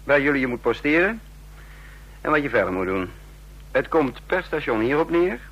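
A commanding, sardonic intelligence chief in a radio drama. His delivery swings freely in pitch and is slightly husky, brisk and teasing. The recording is dull and lo-fi. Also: big pitch swings, fairly bright tone, full character voice. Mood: brisk, instructing. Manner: brisk, teasing, giving orders. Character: sardonic, commanding male chief of secret agents. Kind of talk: Dutch radio play acting.

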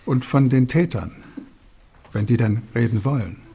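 Solemn, slightly husky TV host. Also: male voice, medium pitch, warm tone. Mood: grave. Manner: formal, measured, grave rhetoric with deliberate pauses. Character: solemn male television talk show host. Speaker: TV presenter. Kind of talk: radio drama, staged studio presentation.

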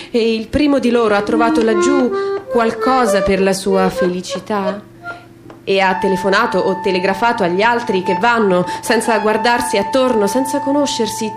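A woman giving a theatrical literary recitation in a measured, declamatory way with dramatic pauses. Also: mid-range voice. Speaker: stage reader and performer. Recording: music under the voice. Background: instrumental music.

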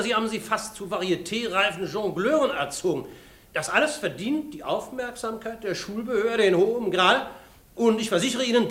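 An actor playing a pompous, sarcastic school inspector in a radio play, mock-courteous and theatrical, in an echoing room. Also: male voice, high voice, fairly bright tone. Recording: echoey, reverberant room.

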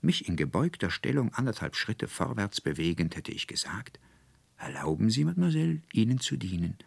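A man playing a hapless young student in a self-pitying, excitable theatrical monologue for a storybook reading. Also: on the low side, fairly quick, gravelly, slightly rough, no room sound, full character voice. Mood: wistful, indignant. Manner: excitable, theatrical monologue with mimicked polite phrases.

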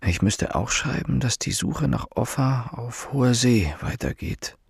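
An actor playing a weary, accusing police inspector in a radio drama, speaking insistently and deliberately. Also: male voice, medium pitch, expressive, vocal fry, husky, full character voice. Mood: bitter, grim. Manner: insistent, deliberate, bitterly rhetorical. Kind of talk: German radio drama acting.

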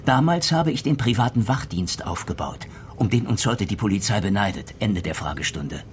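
A male actor as an older police inspector in a radio crime drama. His voice is low and very animated, moving between dry irony and gruff command. There is a faint rumbling noise behind him. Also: normal speed, close to an everyday voice. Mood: gruff, sardonic. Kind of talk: German radio crime play acting.

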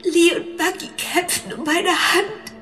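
An actress playing a distraught rich young woman in a radio drama, crying and speaking in halting, broken, repeated phrases. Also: very high voice, fairly bright tone, reverberant, faint music behind. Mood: tearful, desperate.

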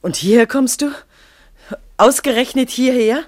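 A woman's voice: a little high, measured pace, no room sound, studio quality, lightly acted. An actress playing a bitter, distraught young woman at a village petrol station in a 1950s German radio play, agitated and accusing. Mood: cold, accusing.

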